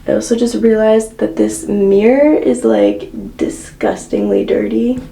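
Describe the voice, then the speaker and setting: A young woman from Canada chatting excitedly in a casual vlog, full of "like" and quick asides. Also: a little high, vocal fry. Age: young adult. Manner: bubbly, fillers with like. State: stuffed up from a cold. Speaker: vlogger.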